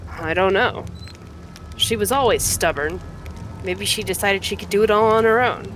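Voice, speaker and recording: A woman voicing a frank, earnest young horned male fighter in a fantasy game, in a measured, conversational way. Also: medium pitch, fairly bright tone, a little hiss or hum, lightly acted. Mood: wry, matter-of-fact. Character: earnest young horned mongrel man, frank, wry cave-dwelling fighter.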